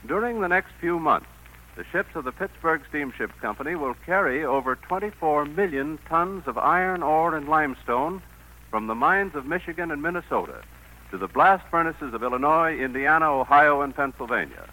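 A man's voice: fairly high pitch, lively intonation, normal speed, pushed and bright, poor audio. An American man reading a scripted sponsor message in formal 1940s radio style. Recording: 1940s radio broadcast recording.

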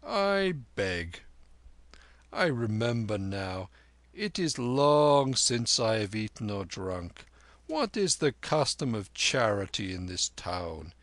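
A gentle old monk speaking slowly and softly, as a man reads him. The voice is measured and weary, and it sits close and dry. Storybook reading. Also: fairly low pitch, very animated, dry recording, exaggerated voice. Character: gentle old male monk, slow and quiet.

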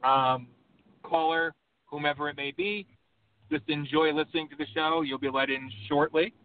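A man's voice: a little high, normal speed. Emphatic male radio host on a phone line. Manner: impassioned, rambling, emphatic. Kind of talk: call-in radio talk. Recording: tinny phone-line audio with faint background hum.

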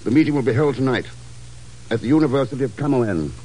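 An actor playing a cool, determined secret agent, clipped and wry, in an old-time radio drama. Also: male voice, medium pitch, lively intonation, quick pace, dark tone, natural voice, low-quality recording. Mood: urgent, firm.

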